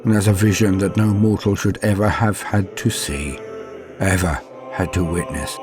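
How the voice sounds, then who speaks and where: A man performs a dutiful medieval fantasy knight, afraid yet steadfast, in a deep, low voice. He tells his tale as solemn audio drama, with grave, archaic phrasing and dramatic pauses, over faint music. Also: steady tone, lightly acted. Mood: fearful confession. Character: frightened but dutiful male knight recounting doomed battle. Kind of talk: dramatic first-person audio drama narration.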